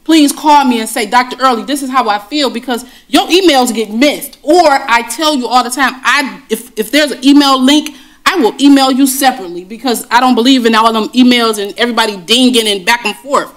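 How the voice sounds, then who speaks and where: A woman's voice: a little high, a little fast, a bit bright. A woman on a school board speaking firmly and insistently in a heated meeting, describing herself as having a strong voice.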